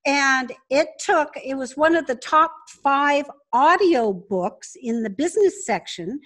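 A woman's voice: sits high, lively intonation, a little slow, a bit bright. A woman speaking conversationally as a guest in an interview, explaining her business. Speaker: podcast guest, business founder.

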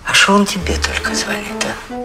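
A male actor playing a jealous, sulky young husband in an animated comedy, blunt and colloquial. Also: normal speed, over loud music, lightly acted. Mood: suspicious, sulky.

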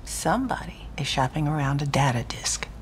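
An actress voicing a sultry, sly female criminal planner in a video game, breathy, smooth and teasing. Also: low voice, animated, normal speed, a little hiss or hum. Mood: sly, seductive. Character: sultry, sly female crime boss's right hand. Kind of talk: cinematic video game acting.

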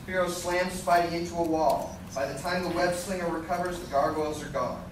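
Man narrating a staged script reading, scripted delivery, very echoey, loud background noise. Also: a little high, fairly even intonation, brisk. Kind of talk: scripted narration for live radio play. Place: large hotel conference room with seated audience. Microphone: distant audience camera. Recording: distant echoey room, loud crowd and noise.

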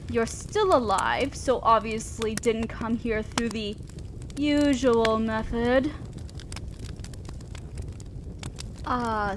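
A woman as a high-voiced, haughty demon lady. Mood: amused, condescending. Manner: drawn-out, condescending, playful theatrical delivery. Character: haughty, teasing female demon lord, amused and imperious. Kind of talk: roleplay audio drama acting. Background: crackling fire.

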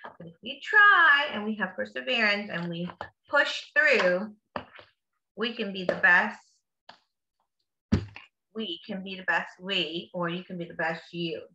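A woman reading a picture book aloud to children in a teacherly, encouraging way, with drawn-out emphasis and simple explanatory asides. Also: medium pitch, expressive, noisy background. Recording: roomy echo, slightly dull sound.

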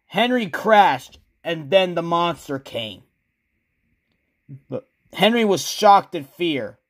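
A man narrating a scripted horror story with a dramatic, drawn-out delivery. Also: high voice, expressive.

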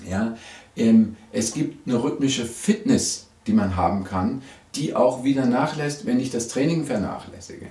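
Hoarse man teaching bass. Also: measured pace, dark tone. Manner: chatty, frequent "ja?" and "ähm" fillers. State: hoarse from a cold. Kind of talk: video lesson, direct to camera. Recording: roomy echo.

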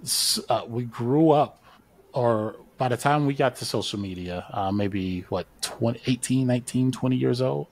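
A man's voice: medium pitch, moderate pace, a little mellow. A man in his thirties, a podcast guest on a video call, explaining his views at length in a casual, thoughtful way. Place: home studio, indoors. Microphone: large studio mic on video call.

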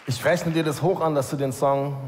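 A man's voice: fairly high pitch, fairly even intonation, moderate pace, noisy background. A man giving frank, friendly feedback as a judge in a large TV studio. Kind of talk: casual feedback. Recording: reverberant studio with audience.

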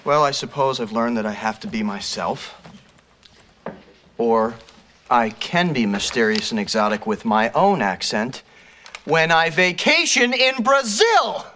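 A man's voice: medium pitch, animated, measured pace, heavy background noise, lightly acted. An actor playing a flustered young man who stammers and pleads, in a 1990s sitcom. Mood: sheepish. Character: flustered young man dropping a fake accent.

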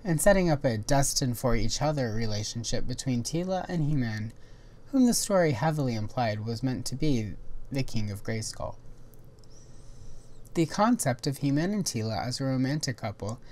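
Very low-voiced woman narrating toy history. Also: lively intonation, moderate pace, a little mellow. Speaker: toy history video host. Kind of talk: scripted documentary narration.

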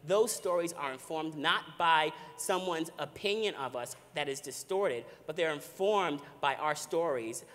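A man's voice: fairly high pitch, unhurried, few pauses, fairly bright tone, in a large hall. A man panelist building a long rhetorical question. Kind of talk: conference panel discussion.

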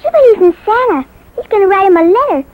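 A woman's voice: very high-pitched, expressive, quick pace, phone-quality sound. A woman playing an earnest, childlike young girl in a 1950s radio drama. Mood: earnest, confiding. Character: earnest young girl confiding in a grown-up friend. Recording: vintage 1950s radio recording.

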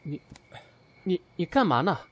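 A man's voice: fairly high pitch, big pitch swings, a little slow, muffled recording, a little hiss or hum. An actor playing a guarded, coolly polite young man in an amateur audio drama. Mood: startled.

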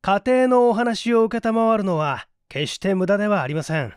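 A man's voice: sits high, normal speed, smooth, dry recording, clean studio sound, close to an everyday voice. A man voicing a composed, shrewd male detective who questions with polite assurance in an audiobook mystery. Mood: composed, assured.

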